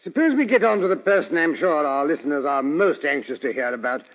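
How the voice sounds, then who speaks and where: An actor playing a genial, fussy older English gentleman storyteller in old radio drama, with a British accent and chatty, old-fashioned phrasing. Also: a little high, lively intonation, a little fast, natural voice. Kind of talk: 1940s radio drama acting. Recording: muffled old radio broadcast, reverberant.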